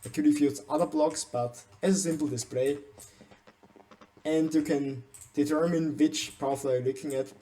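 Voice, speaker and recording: Hesitant young Austrian man, dark voice. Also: mid-range voice, poor audio. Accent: Austrian German. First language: German. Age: young adult. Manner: hesitant, frequent restarts and stumbles. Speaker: gaming channel host. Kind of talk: game base tour voiceover.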